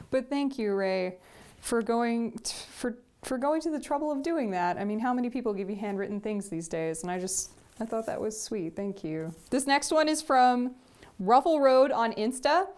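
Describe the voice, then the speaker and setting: A woman's voice: a little high, darkish tone. Gushing young woman unboxing gifts. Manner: gushing, repeats "sweet" often. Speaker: vlogger. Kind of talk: casual gift unboxing chat.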